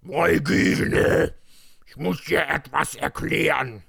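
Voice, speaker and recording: A performer voicing a furious, possessive unicorn prince with a booming, drawn-out, threatening delivery. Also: creaky, sharp tone, full character voice. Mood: angry, possessive.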